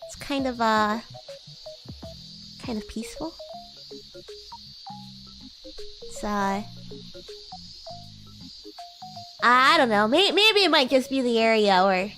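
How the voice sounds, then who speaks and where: A woman's voice: on the high side, animated, moderate pace, bright, forceful tone, soft background music. A woman voicing a bratty, sweet young demon girl in casual roleplay chat, with hesitant, girlish delivery. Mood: soft, shy. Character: bratty, sweet young female demon classmate from another world. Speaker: VTuber streamer.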